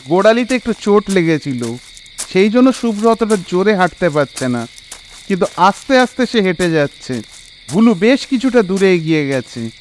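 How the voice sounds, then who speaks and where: A man narrating a scripted horror story in an expressive, suspenseful, dramatic way. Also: high voice, a little hiss or hum.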